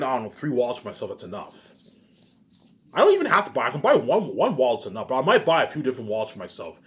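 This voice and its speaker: A man talking in a casual, rambling monologue, circling back over the same phrases with repeated filler tags and emphatic bursts that trail off. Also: lowish voice. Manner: repetitive, restarts phrases, frequent filler catchphrases.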